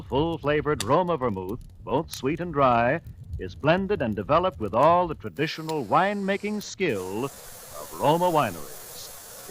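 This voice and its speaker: Animated American 1940s radio announcer man. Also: on the high side. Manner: polished, salesman-like delivery. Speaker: radio announcer and sponsor pitchman. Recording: hissy 1940s radio recording.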